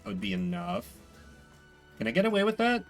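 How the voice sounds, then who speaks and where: A man's voice: high-pitched, big pitch swings, fairly quick, vocal fry, soft background music. A man streaming a strategy game, musing aloud as he talks himself through his decisions. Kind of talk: live strategy game commentary, thinking aloud.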